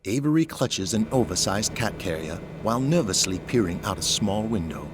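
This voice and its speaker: A man's voice: on the low side, lively intonation, moderate pace, noisy background. A man giving dramatic scripted narration as the narrator of an audio drama. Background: music.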